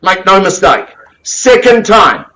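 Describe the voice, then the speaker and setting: A man with a New Zealand accent and a big booming voice, calling bids in rapid rhythmic patter with quips as a live property auctioneer in a room of bidders. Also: sing-song, sharp tone, low-quality recording.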